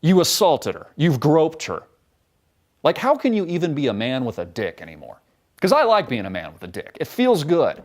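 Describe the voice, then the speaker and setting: A man's voice: a little high, huge pitch swings, moderate pace, darkish tone. A man hosting a show, delivering a sarcastic, profane, mocking rant as a monologue. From United States. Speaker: show host. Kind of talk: ranting political monologue.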